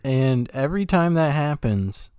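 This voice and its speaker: Slow-talking man, hesitant podcast co-host. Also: fairly high pitch, lively intonation, darkish tone, smooth, no room sound, studio quality. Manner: slow, hesitant talk with frequent backchannel murmurs. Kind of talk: casual chat.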